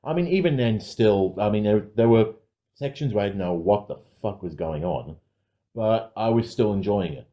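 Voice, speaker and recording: A man's voice: on the low side, expressive, normal speed, muffled recording. A man co-hosting a podcast, reviewing films in an informal, self-correcting way. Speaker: podcast co-host. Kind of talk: casual film review chat.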